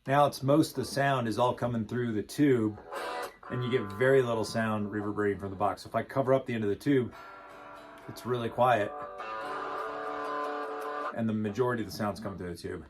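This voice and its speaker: A man giving a casual, hesitant hands-on walkthrough of a DIY project, explaining it off the cuff with frequent pauses. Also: fairly low pitch, music in the background. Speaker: DIY builder and host.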